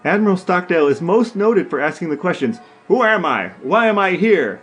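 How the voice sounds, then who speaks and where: A man's voice: high-pitched, lively intonation, normal speed, a bit bright, slightly rough, soft background music. A man co-hosting a podcast in casual chat, reading out quotes and bits of trivia. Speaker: podcast co-host.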